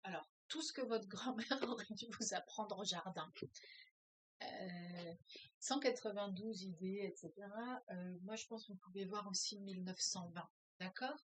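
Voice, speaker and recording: A woman's voice: medium pitch, normal speed, no room sound. A woman who teaches French as a foreign language, reading a novel aloud and breaking off to comment, with hesitant, thinking-aloud speech full of filler sounds.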